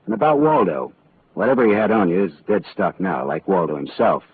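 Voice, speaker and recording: A hard-boiled radio detective. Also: male voice, sits low, very animated, fairly quick, a little hiss or hum. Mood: wry. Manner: hard-boiled, wry, clipped. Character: tough, wisecracking male private detective. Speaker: narrator and detective. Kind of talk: 1940s radio acting. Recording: tinny old radio broadcast with faint music.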